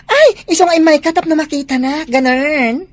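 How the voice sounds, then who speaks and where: Actress playing a high-voiced doting sweetheart. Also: female voice, moderate pace, lightly acted. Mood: fretful. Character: dramatic, affectionate young sweetheart, fretful and doting. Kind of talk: Ilocano audio comedy drama acting.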